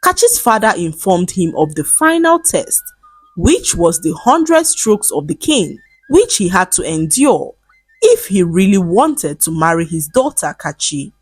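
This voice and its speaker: A woman storyteller reading a scripted folktale in a measured, dramatic storybook manner. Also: on the low side, sing-song, husky, dry recording, soft background music, low-quality recording.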